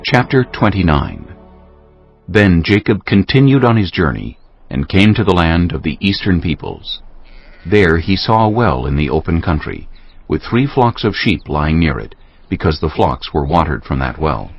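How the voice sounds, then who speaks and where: A man's voice: deep voice, big pitch swings, normal speed, husky. A man narrating a dramatized audio Bible in a solemn storytelling style. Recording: slightly dull sound, music underneath. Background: music.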